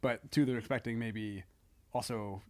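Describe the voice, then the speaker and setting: A man talking casually as a comedian guest on a podcast, halting and self-deprecating. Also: medium pitch, steady tone, darkish tone.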